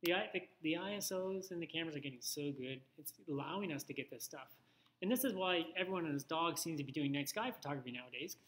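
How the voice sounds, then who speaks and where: A man's voice: on the high side, expressive, fast, a bit bright. A man giving an informal instructional photography talk, conversational and thinking aloud with little asides.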